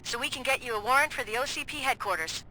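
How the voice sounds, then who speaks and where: An actress playing a tough woman police detective, talking in a brisk, punchy, outspoken way. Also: sits high, pushed and bright, slight background noise, rough recording. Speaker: police officer, the hero's partner.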